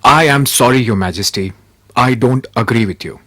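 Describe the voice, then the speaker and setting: A man acting a wise, steadfast royal minister in a respectful, earnest manner for an animated moral tale. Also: medium pitch, moderate pace, loud music behind the voice, close to an everyday voice. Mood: firm.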